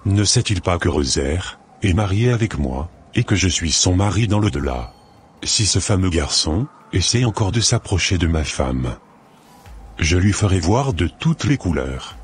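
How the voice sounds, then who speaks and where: A man's voice: low voice, animated, measured pace, a little hiss or hum. A man voicing a jealous, threatening male spirit from the beyond in a scripted cartoon. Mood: jealous, menacing.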